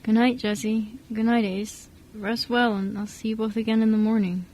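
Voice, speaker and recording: A woman playing a kind, soft-spoken adoptive father, hesitant and reassuring, in an amateur radio drama. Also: on the high side, little pitch movement, moderate pace, slight background noise, lightly acted, rough recording. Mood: warm, reassuring. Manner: hesitant, reassuring, mild stammer.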